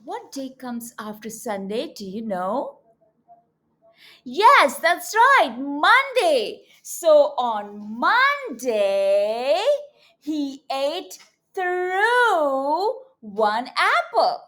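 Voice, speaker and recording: Very high-pitched, animated woman reading to children. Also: very slow. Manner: expressive, coaxing, addressing young children. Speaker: children's read-aloud teacher. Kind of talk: storybook read-aloud with questions to children.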